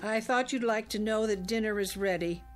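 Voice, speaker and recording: An actress playing a brisk, dryly sarcastic housekeeper in an old radio drama. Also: female voice, mid-range voice, soft background music, close to an everyday voice. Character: dry, no-nonsense housekeeper.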